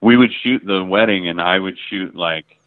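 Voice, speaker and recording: Low-voiced photographer on a phone-like line. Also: male voice, normal speed, no echo. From Ohio and Michigan, US. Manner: relaxed storytelling, heavy filler words, casual swearing. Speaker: guest photographer. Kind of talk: casual interview chat.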